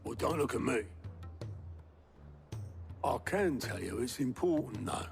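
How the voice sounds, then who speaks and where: A British actor playing a gruff, wry middle-aged man in a fantasy video game, blunt and colloquial. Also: mid-range voice, animated, a little slow. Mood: wry, musing. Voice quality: gravelly, creaky. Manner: blunt, colloquial, dryly teasing. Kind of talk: cinematic video game acting. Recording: music behind the voice.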